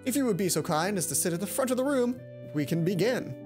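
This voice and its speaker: A woman's voice: medium pitch, lively intonation, quick pace, close to an everyday voice. Woman playing a courtly, theatrical young man, music behind. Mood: gracious. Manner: gracious, theatrical. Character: courtly, flamboyantly polite beautiful young man. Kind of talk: visual novel character reading.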